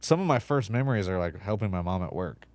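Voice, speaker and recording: A man's voice: sits low, expressive, quick pace, a little mellow, dry recording. A man in his thirties, a podcast guest whose first language is Spanish, talking casually with lots of likes and you-knows. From United States, Mexican immigrant mother.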